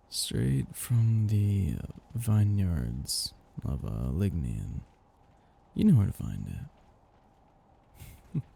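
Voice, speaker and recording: Casting: male actor as ancient vampire master for ASMR roleplay, very dark and breathy, gravelly edge, possessive, teasing. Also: fairly low pitch, heavily acted. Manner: languid, teasing, condescending endearments, soft chuckles. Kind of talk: intimate ASMR roleplay monologue.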